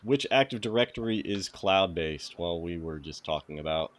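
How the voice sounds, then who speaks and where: A man's voice: low voice, expressive, moderate pace. A man with a New England accent hosting a casual livestreamed tech tutorial, reading quiz questions aloud and greeting viewers by name. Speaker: host of a cybersecurity lab walkthrough.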